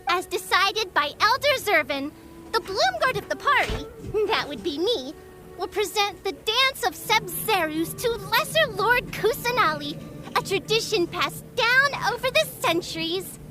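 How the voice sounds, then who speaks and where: A very high, animated fairy guardian acted by a woman declaims like a haughty little warrior. The fan-dubbed game lines are proud and fierce, with music clearly playing behind. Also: unhurried, exaggerated voice. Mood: proud, formal. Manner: haughty, grandiose, old-fashioned declaiming. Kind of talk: fan dub of a fantasy game.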